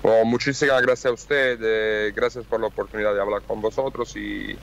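A man's voice: lowish voice, fairly even intonation, a little fast, gravelly, highs cut off, a little hiss or hum. A man from Naples with an Italian accent, a friendly radio guest who searches for words in a language that is not his first. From Naples, Italy. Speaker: guest on the phone.

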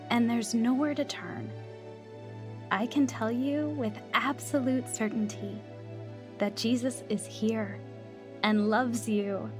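A woman's voice: on the high side, lightly acted. Earnest actress as a devout young woman, music behind. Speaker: lead actress and first-person narrator. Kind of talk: scripted radio drama narration.

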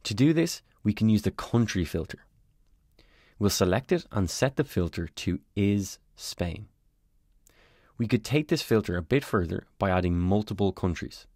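A man calmly narrating a step-by-step software tutorial as a voice-over on a screen recording. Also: on the low side, normal speed, no echo, clean studio sound. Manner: calm, step-by-step explanatory.

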